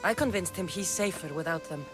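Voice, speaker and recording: A woman's voice: lowish voice, fairly quick, over music, lightly acted. Actress as bold wry mercenary woman, game drama. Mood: firm, confident. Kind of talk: video game dramatic dialogue.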